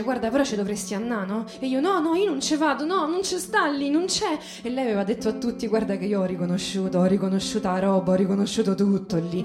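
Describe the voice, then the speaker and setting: Actress for working-class widow, Roman accent, high voice, stage monologue full of grief and stumbling repetition; roomy sound, guitar underneath. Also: female voice, lightly acted. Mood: anguished, pleading. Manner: halting, insistent, repeating words and phrases. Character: grieving working-class Roman widow recounting identifying her husband's body. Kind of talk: dramatic theatrical monologue with music. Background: plucked guitar music.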